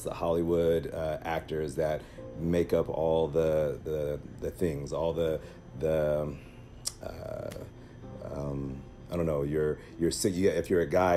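A man around forty from Seattle, answering interview questions with many pauses and restarts. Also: very deep voice, mellow. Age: late 30s to mid 40s. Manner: hesitant, many fillers and restarts. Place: indoor storage room with swim gear.

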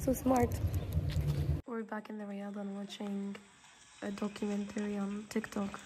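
A woman's voice: medium pitch, flat delivery, a little slow. A young woman from northern Morocco, vlogging her travels in a chatty, upbeat way while talking to her phone. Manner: chatty, upbeat, talks straight to camera. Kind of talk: casual travel vlog. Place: busy outdoor markets and streets of an old city. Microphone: phone held at arm's length. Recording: loud street crowd and traffic noise. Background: loud crowd and traffic.

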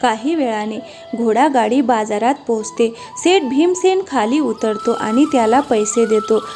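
A woman narrates a children's cartoon tale in a scripted storybook style. Her voice is fairly high, with faint music underneath. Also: unhurried, a little mellow. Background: light background music.

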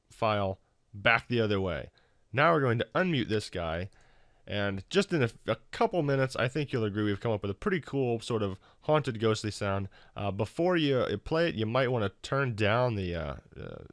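A man hosting a casual software tutorial in an informal, self-deprecating, hesitant way. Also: on the low side, no room sound, studio quality. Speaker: tutorial host.

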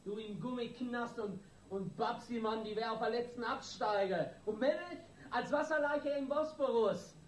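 An actor playing a hot-tempered small-time gang boss in a radio crime drama, coarse and threatening in street slang. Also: male voice, very high-pitched, moderate pace. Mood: angry, domineering. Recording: roomy, distant, noisy radio drama.

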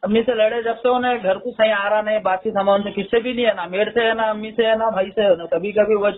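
A man's voice: sits high, steady tone, fast talker, a little hiss or hum. A man with a Hyderabadi accent explaining and reasoning in an informal phone conversation. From Hyderabad, India. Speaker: caller. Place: telephone call, played in a news upload. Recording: tinny phone call recording.

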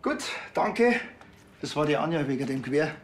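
Sardonic Bavarian policeman, slightly high voice. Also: sing-song, normal speed, a little hiss or hum. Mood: casual. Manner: dry, sardonic. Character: dry, needling male rural police officer. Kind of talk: TV crime comedy acting.

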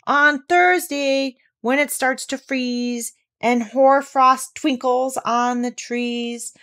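A woman voicing a good-natured, slow-witted male bear in a storybook, slow and earnest in manner. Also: high-pitched, steady tone, fairly bright tone, voice pushed up, full character voice. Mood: dreamy reciting.

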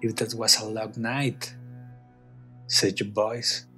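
A low-pitched young man acting as a concerned friend, with a bright, forceful edge and an unhurried, hesitant delivery, recorded in a small indoor room. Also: little pitch movement, faint music behind. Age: young adult. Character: young man, a worried friend bringing a book.

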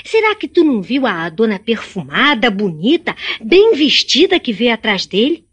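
High-voiced, stubborn country girl. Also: big pitch swings, normal speed, a bit bright, husky, full character voice. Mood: stubborn, exasperated. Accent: Brazilian. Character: stubborn, teasing young rural daughter. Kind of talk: radio drama acting.

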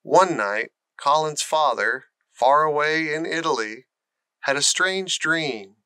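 A man narrating a children's storybook in a deliberate, expressive way. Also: on the high side, smooth, no room sound. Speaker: audiobook narrator.

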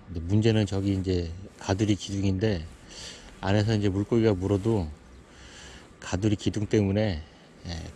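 A man's voice: deep voice, dark tone, slight background noise. A middle-aged man narrating his own fishing trip outdoors in a casual, chatty way, often dropping in "yes" as filler. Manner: chatty, filler-heavy, frequent "예". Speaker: fishing vlogger. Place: outdoors at a seaside fishing pond.

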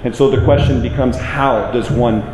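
An American man in his forties giving a public lecture in an emphatic, deliberate manner, repeating phrases for weight. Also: medium pitch, steady tone, darkish tone. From United States. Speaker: public speaker. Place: indoor room with plain walls, speaking to an audience. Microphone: handheld microphone, later a small clip-on mic. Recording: roomy echo, clear background noise.

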